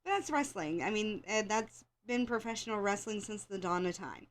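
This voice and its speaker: A woman's voice: medium pitch, expressive, normal speed. Slightly congested American woman chatting casually. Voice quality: slightly congested, scratchy throat from allergies. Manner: opinionated, informal fan talk with repeated phrases. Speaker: host of a wrestling show. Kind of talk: casual rambling chat to listeners.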